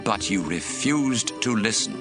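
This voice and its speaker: An actor voicing a stern prophet delivering a solemn rebuke in a dramatized Bible reading. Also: male voice, lowish voice, animated, a little slow, music in the background. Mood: rebuking. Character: a male prophet speaking for God, stern.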